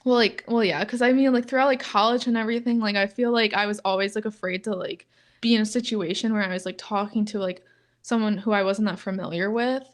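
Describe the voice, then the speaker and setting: A young woman with a monotone delivery and a middle-pitched voice, talking casually on a podcast. She fills nearly every phrase with "like" and laughs often between thoughts. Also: quick pace. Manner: says "like" constantly, flat and low-key, laughs often. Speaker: podcast co-host. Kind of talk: casual chat.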